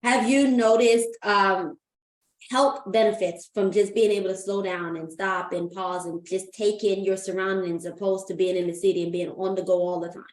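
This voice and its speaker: A woman's voice: mid-range voice, steady tone, moderate pace. A woman from Guyana hosting an interview show, asking friendly questions in an upbeat way over a video call. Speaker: host. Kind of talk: interview host. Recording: echoey room.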